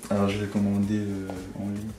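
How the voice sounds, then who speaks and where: A man from Cameroon on a reality show, soft-spoken and flirty in casual conversation. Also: fairly low pitch, monotone, brisk. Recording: echoey room, music behind.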